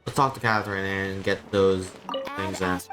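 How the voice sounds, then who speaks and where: Deep voice, streamer, casual gameplay commentary, low-key, thinking aloud, game music behind. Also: little pitch movement, moderate pace, a bit bright, rough recording.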